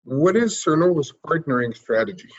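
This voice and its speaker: A man's voice: on the high side, expressive, moderate pace, dry recording. A man acting as moderator, reading out formal prepared questions on an investor conference call. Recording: dull, dry lo-fi call audio.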